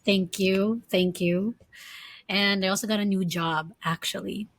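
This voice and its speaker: A woman's voice: mid-range voice, steady tone, unhurried, dry recording. A woman in her early thirties from the Philippines, co-hosting a casual chat, giggling often and asking curious questions. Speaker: podcast co-host. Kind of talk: casual chat between friends.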